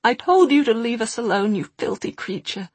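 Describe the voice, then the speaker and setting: A woman's voice: fairly high pitch, sing-song, normal speed, a little mellow, breathy edge, no echo, pitched above the performer's own voice, exaggerated voice. A woman voicing a fierce young woman who shrieks in thin, trembling hatred. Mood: furious. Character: young woman, fierce, hateful, near hysterical.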